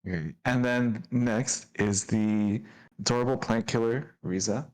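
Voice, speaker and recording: A man's voice: mid-range voice, a little slow, rough recording. A man acting as dungeon master, introducing the players and narrating the scene with upbeat energy. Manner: upbeat, laughing, descriptive narration.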